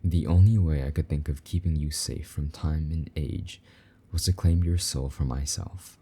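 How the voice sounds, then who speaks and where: A man playing a possessive but tender, lonely demon lover in an intimate romantic audio roleplay, speaking softly and coaxingly in a husky voice. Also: exaggerated voice. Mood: regretful, possessive. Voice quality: very deep, dark, husky. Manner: soft, slow-burning, gently coaxing endearments.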